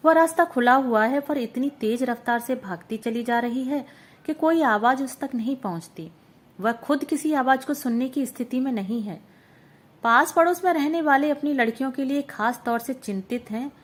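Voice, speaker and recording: A woman narrating a literary short story in a steady, measured storytelling manner. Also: high-pitched. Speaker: audiobook narrator.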